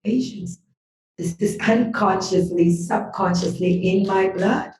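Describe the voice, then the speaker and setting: A woman's voice: medium pitch, measured pace, darkish tone. Woman guest talking earnest and emphatic about energy and healing, mic kinda far off. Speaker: podcast guest, business school owner and healer. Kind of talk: reflective personal sharing. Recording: roomy sound from a distant mic.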